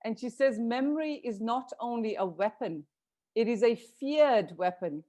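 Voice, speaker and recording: A woman's voice: on the high side, animated, unhurried, warm tone. A woman from South Africa answering questions on a video call in an earnest, grateful way, with frequent restarts. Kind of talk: reflective panel discussion.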